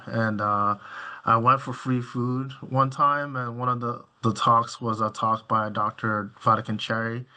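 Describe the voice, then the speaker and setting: Low, bright-voiced man, halting and level. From Nigeria. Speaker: physician, podcast guest. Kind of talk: informal interview answers.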